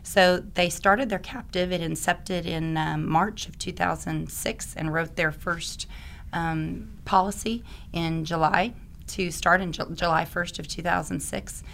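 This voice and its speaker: A woman from Oklahoma with a slightly raspy voice, enthusiastically explaining insurance as an interview guest, with many ums. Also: lowish voice, steady tone, measured pace, a bit bright, a little hiss or hum. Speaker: guest, insurance risk advisor. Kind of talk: conversational interview.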